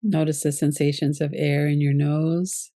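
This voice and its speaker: A woman teaching yoga nidra, leading a guided relaxation meditation in a calm, gentle, unhurried way. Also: sits low, no echo, clean studio sound.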